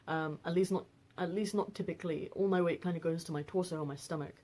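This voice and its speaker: A woman in her twenties with a deep voice, talking casually on a personal vlog with lots of fillers and restarts. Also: fairly even intonation, fast talker, a little mellow. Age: 20s. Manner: rambling, frequent fillers and restarts. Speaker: vlogger.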